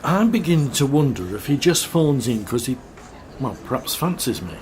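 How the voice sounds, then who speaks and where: A man's voice: mid-range voice, big pitch swings, normal speed, a little mellow, slight background noise, close to an everyday voice. A man acting a stressed, irritable local radio presenter in an audio drama, defensive and hesitant. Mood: casual, uneasy.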